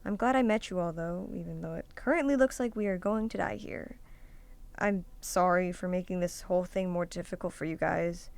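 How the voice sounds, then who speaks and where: A woman's voice: lowish voice, moderate pace, creaky. A woman acting as a bitter, sarcastic young college student in an amateur audio drama, argumentative and pessimistic. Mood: bitter, apologetic. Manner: sardonic, argumentative.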